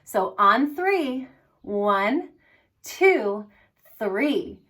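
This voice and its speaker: A woman hosting story time for young children, speaking in an animated, encouraging way straight to her little listeners. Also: on the high side, drawn-out words. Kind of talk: reading and singing aloud to young children.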